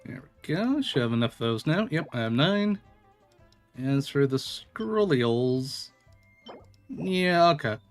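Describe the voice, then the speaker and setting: A man streams a video game with casual, playful commentary. His voice sits fairly high and moves around a lot in pitch, breaking into exclamations as he talks to the characters. Music plays behind him. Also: big pitch swings, takes it slowly.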